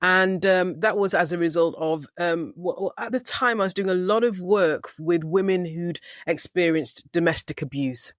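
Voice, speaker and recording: A middle-aged woman from Manchester with an English accent, telling her story as an interview guest in a measured, articulate way with deliberate emphasis. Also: lowish voice, no echo, studio quality. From Manchester, England. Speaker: podcast guest, leadership consultant. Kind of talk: reflective personal storytelling in an interview.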